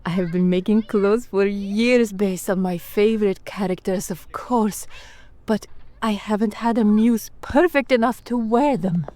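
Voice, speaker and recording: A woman's voice: medium pitch, unhurried, warm tone, natural voice. A woman playing an eccentric, languid vampire archduchess in a fantasy roleplay, airy, drawling and theatrical as she dotes. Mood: doting, pleased.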